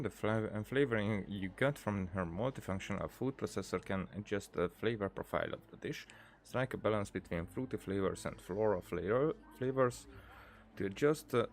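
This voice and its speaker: A man hosting a gameplay video, casually reading prompts aloud in a halting way and tossing in playful asides. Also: on the low side, gravelly, a little hiss or hum. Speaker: gaming video host. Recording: game music behind the voice.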